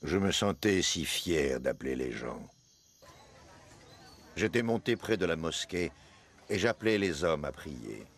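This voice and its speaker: An actor voicing a proud, devout man recounting his past in solemn, measured narration for an animated film. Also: very deep voice, full character voice. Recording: music under the voice.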